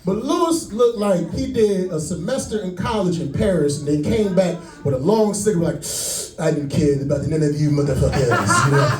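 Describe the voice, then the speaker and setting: A man's voice: on the high side, expressive, normal speed, few pauses, a little mellow, roomy sound, distant mic, low-quality recording. A man doing stand-up crowd work, loose and crude, often laughing at his own jokes. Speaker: stand-up comedian. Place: comedy club stage.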